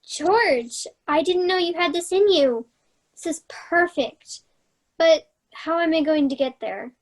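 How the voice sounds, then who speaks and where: A woman's voice: very high-pitched, takes it slowly. A woman playing a wistful, sarcastic young fairy tale heroine in a children's play, earnest with a dry edge. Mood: pleased, teasing. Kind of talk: staged reading of children's theater fairy tale.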